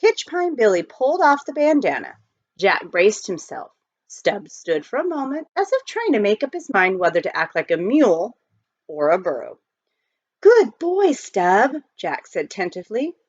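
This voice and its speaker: Woman, expressive storybook narration, animated pitch swings, dull lo-fi recording. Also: normal speed. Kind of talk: expressive read-aloud narration of a children's novel.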